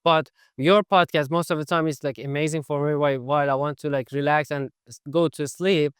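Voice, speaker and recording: A young man with as his hosts a casual interview, his slightly high voice smooth and clear. He talks loosely with frequent "like" fillers. The recording is dry and close. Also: steady tone, brisk, no room sound. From Iran. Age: 20s. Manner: chatty, non-native phrasing, frequent "like" fillers. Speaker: podcast host.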